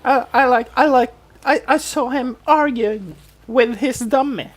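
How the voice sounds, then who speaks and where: A woman's voice: high voice, unhurried. A woman co-hosting a podcast in a casual recap chat, telling the story and acting out quotes as she goes. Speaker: podcast co-host.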